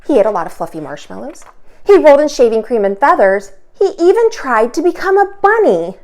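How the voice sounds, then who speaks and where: A woman teacher hosting a children's storytime, reading aloud expressively with dramatic pauses and emphasis. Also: sits high, big pitch swings, darkish tone. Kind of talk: animated children's read-aloud.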